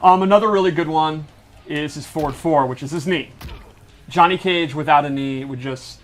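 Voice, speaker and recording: A man with a slightly high voice walks through fighting-game mechanics in a casual style. He is enthusiastic and technical, explaining things as he goes. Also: moderate pace, a little hiss or hum. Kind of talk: casual gameplay breakdown.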